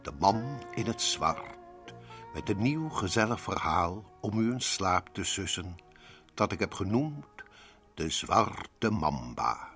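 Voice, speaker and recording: Macabre radio horror host, low husky voice, swooping delivery, theatrical menace, music behind. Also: male voice, sing-song, slow, a bit bright, full character voice. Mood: sinister, mock-cosy. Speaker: host and narrator of a suspense radio series. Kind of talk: dramatic radio horror narration. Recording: old radio drama recording.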